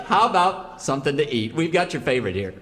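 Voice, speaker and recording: A man playing an excitable, gullible cowboy sidekick and gushing fan in a live comedy radio show. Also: on the high side, fast, slight background noise. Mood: fawning. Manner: eager, gushing. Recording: echoey hall with audience behind.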